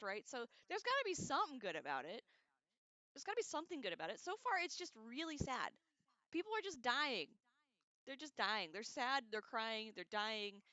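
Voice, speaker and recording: A woman hosting a casual livestream, chatting to viewers in an excitable, giggly way with loose, profane slang. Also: sits high, no room sound, dull audio. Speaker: livestream host. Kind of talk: casual chat to live viewers.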